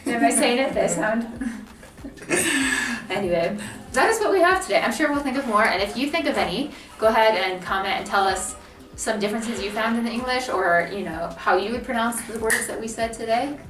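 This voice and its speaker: A woman's voice: on the high side, animated, a little fast, a bit bright, recorded from a distance. A young American woman chats playfully on a vlog. She sounds like she's sitting a bit back from the mic in an echoey room, with faint music underneath. She has a slightly lazy R. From United States. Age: young adult. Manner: chatty, laughing, playful teasing. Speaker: channel co-host, student. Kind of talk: casual chat to camera.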